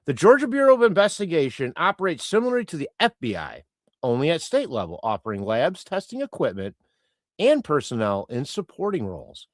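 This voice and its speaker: Chatty man hosting a livestream, lively pitch. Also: fairly quick, dry recording, studio quality. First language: Croatian. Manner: rambling, chatty, many fillers and restarts. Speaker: livestream host reading chat. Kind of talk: casual live-stream chat.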